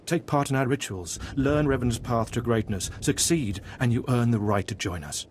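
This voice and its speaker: A man playing a devout, guarded cult mentor in a video game, speaking in a formal, reverent, measured way. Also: medium pitch, a little mellow, slight background noise, natural voice. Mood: solemn, reverent. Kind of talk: scripted video game voice acting.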